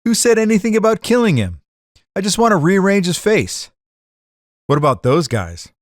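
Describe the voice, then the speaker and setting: Man playing a hapless, excitable gangster-drama husband. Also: high voice, normal speed, no echo, clean studio sound. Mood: indignant. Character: slow-witted, hapless, indignant husband caught among gangsters. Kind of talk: hardboiled comedic gangster audio drama acting.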